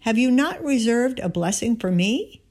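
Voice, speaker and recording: A woman's voice: a little high, big pitch swings, a little slow, a little mellow, pitched above the performer's own voice. A woman reading the words of a wronged, bitter elder son in a scripture story, earnestly. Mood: pleading.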